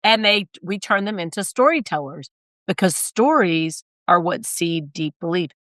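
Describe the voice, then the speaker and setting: A woman guest with a raspy, hoarse voice, talking briskly and emphatically in an interview. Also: on the low side, lively intonation, fairly bright tone, dry recording, studio quality. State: hoarse, recovering from illness. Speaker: guest, sales consultant and author. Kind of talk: conversational interview.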